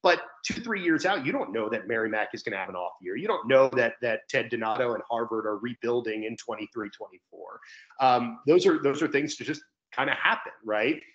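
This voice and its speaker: Young male sports broadcaster, mid-pitched, chatty and explanatory, guesting over a video call. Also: lively intonation, fairly quick, fairly bright tone, muffled recording. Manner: talkative, explanatory. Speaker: sports play-by-play commentator as guest. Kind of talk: conversational sports interview. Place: indoor room at home, video call.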